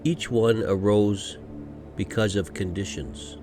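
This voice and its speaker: A man guiding a meditation from a script in a gentle, soothing, unhurried manner, pausing often between short phrases. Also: on the low side, over music. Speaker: meditation guide. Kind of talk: guided meditation, scripted narration.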